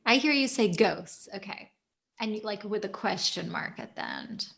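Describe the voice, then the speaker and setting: A woman casually playing a tabletop game, relaxed and chatty. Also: medium pitch, a little slow, vocal fry. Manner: casual, laid-back, lots of fillers. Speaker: player.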